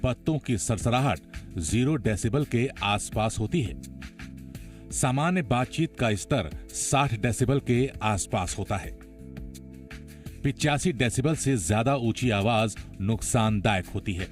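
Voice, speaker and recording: A man's voice: mid-range voice, expressive, a little slow, faint music behind. A man reading scripted informational narration. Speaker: explainer narrator.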